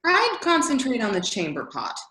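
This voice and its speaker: Casting: actress for bossy, probing woman, highish and very animated, stage-reading comedy, roomy echoing sound. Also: moderate pace, heavily acted. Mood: bossy, emphatic. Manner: insistent, emphatic. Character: exacting, inquisitive woman, bossy coach of storytelling. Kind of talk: readers theater dialogue.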